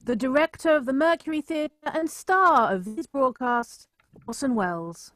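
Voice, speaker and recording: A woman's voice: sits high, moderate pace, fairly bright tone, dry recording. A woman playing a formal, matter-of-fact 1930s radio announcer in a scripted drama. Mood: formal, presenting.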